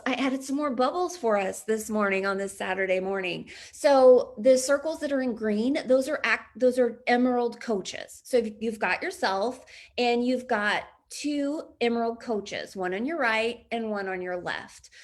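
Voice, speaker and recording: Upbeat chatty woman, slightly raspy voice. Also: fairly high pitch. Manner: upbeat, chatty, frequent laughs and throat clearing. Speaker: business coach. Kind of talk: online training presentation.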